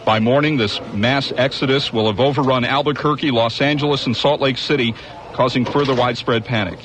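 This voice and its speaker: An actor playing a radio news anchor reading urgent bulletins in a radio drama. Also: male voice, medium pitch, steady tone, fairly quick, fairly bright tone, a little hiss or hum, close to an everyday voice. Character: steady male news anchor reporting catastrophe.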